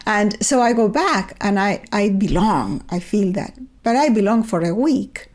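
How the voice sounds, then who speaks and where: An older woman from Chile with a Chilean accent, a native Spanish speaker, talking in a measured, thoughtful way as an interview guest on a remote call. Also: medium pitch, animated, a little mellow. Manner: thoughtful, measured storytelling with repeated restarts. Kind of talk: reflective conversational interview. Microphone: remote video call.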